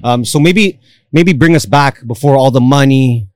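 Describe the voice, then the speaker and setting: A man's voice: medium pitch, normal speed, no echo, studio quality. A man co-hosting a podcast, laid-back and casual, swearing freely and filling gaps with "um" and "all right?". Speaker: podcast co-host. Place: yacht deck outdoors.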